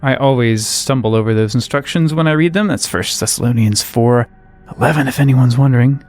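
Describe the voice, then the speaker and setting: A man's voice: medium pitch, brisk, darkish tone, faint music behind. A man co-hosting a podcast, adding brief wry remarks in casual discussion.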